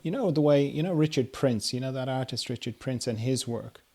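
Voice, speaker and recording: A man interviewing in a casual, conversational way, halting and circling as he works toward his question. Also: mid-range voice, mellow.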